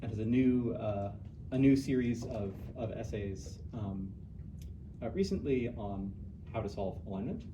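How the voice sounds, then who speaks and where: Heard from a distance in an echoey room with noticeable noise, a man introduces a speaker. His delivery is informal and halting, with phrases often restarted. His pitch is average for a man. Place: indoor room at an in-house talk. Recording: echoey room, distant mic, noisy background.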